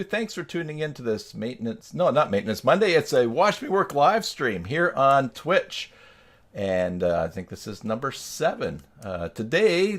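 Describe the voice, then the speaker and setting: A man hosting a tutorial live stream in a casual, folksy, joking and meandering way, speaking into a headset microphone. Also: mid-range voice.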